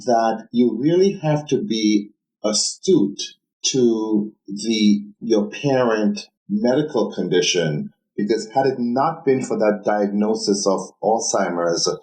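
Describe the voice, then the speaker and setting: A man's voice: fairly low pitch, unhurried, a little mellow, reverberant, rough recording. A man hosting an interview podcast, listening attentively, backchanneling often and summing up his guest's points. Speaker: podcast host. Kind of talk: conversational interview.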